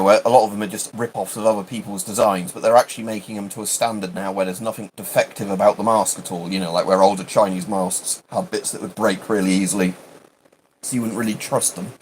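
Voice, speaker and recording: A man's voice: on the low side, slight background noise, rough recording. A British man chatting casually on a livestream, rambling and hesitant, often interrupting himself. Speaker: livestream host.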